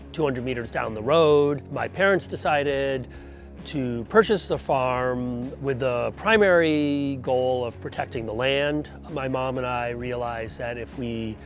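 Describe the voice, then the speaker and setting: A man in his forties, a dairy farmer, speaking thoughtfully and plainly in a documentary interview outdoors on a lapel mic. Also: medium pitch. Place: outdoors by a stone barn.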